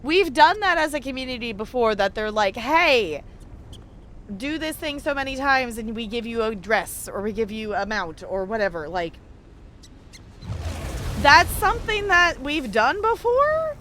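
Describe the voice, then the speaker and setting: Animated bright-voiced woman live streamer. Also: on the high side, a little slow, slight background noise. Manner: animated, exclaiming, chatty. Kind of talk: casual live stream chat with gameplay commentary.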